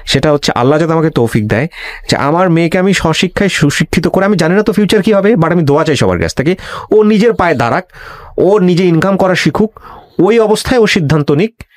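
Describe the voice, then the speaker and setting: A Bangladeshi man hosts a talk show in a lively, swooping voice with a dry, close sound. He speaks quickly and emphatically, advising earnestly, repeating phrases for weight and slipping in English words. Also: on the high side, no room sound. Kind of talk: earnest advisory monologue and interview.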